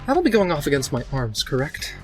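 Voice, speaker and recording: Tabletop role-playing player, medium pitch, narrating character moves, soft music behind. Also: big pitch swings, a little fast. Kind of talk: tabletop game talk, narrating character actions.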